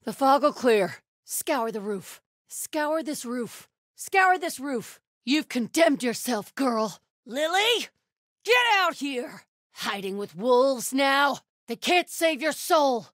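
Video game cult huntress: zealous, commanding, piercingly high, sharp-edged, measured pace. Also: female voice, expressive, no echo, clean studio sound, exaggerated voice. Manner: forceful, commanding, accusing. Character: fierce, zealous female cult warrior hunting enemies. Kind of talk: video game combat barks.

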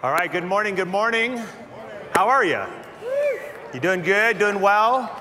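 A man's voice: high-pitched, animated, measured pace. A middle-aged man preaching a sermon as pastor on a large church stage, chatty and joking. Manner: chatty and joking, laughing at his own jokes. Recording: reverberant church auditorium.

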